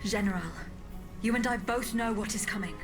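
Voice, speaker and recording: A woman's voice: medium pitch, fairly quick, natural voice. A woman playing a decisive, protective female royal bodyguard in a video game cutscene. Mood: urgent, decisive. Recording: music behind the voice.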